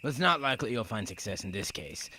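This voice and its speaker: A man's voice: lowish voice, big pitch swings, fast, sharp tone, slight background noise, exaggerated voice. An actor playing a blunt, earnest Roman prison guard and worried father in an audio drama. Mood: weary, skeptical.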